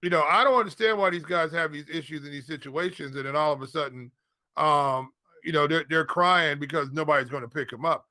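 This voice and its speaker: A man's voice: a little high, sharp tone, no echo. An American man chatting casually as a fantasy football analyst, often repeating words as he works through his thoughts. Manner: halting, repeats words and phrases. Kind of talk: casual sports chat.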